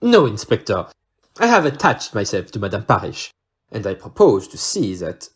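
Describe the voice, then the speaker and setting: Courteous Belgian male sleuth, French accent, husky timbre, hugely animated melody, formal audio-play delivery. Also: mid-range voice, moderate pace, exaggerated voice. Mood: pensive, shrewd. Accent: French-speaking Belgian. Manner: formal, theatrical, French interjections. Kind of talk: amateur audio play acting.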